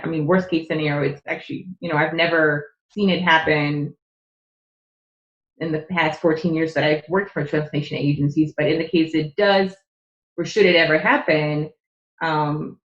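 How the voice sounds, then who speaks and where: Low-voiced woman on an echoey line. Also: fairly even intonation, moderate pace, dull audio. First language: Czech. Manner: earnest, explanatory, with frequent fillers. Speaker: podcast guest. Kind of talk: conversational interview.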